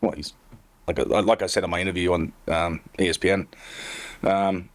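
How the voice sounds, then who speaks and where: A man's voice: sits low, fairly even intonation, normal speed. A man who works as a tour caddy, telling rambling, self-deprecating stories in a casual podcast chat. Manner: rambling, self-deprecating, laughs through anecdotes.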